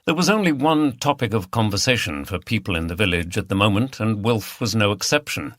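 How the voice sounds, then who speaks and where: A man's voice: fairly low pitch, lively intonation, brisk, a bit bright, no room sound, studio quality. A man reading a mystery novel as scripted audiobook narration.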